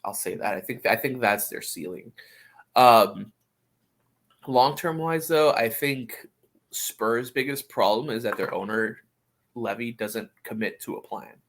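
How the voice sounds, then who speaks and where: A man co-hosting a sports podcast, giving opinions in casual talk and often halting to find his words. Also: mid-range voice, fairly bright tone. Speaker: podcast co-host. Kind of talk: casual soccer discussion.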